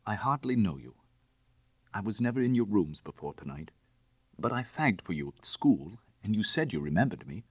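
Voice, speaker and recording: A man voicing a bitter, proud young gentleman who is ruined and desperate, speaking formally and emotionally in an audiobook story. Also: fairly low pitch, sing-song, normal speed, husky, dry recording. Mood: agitated. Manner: emotional, self-reproaching, formal.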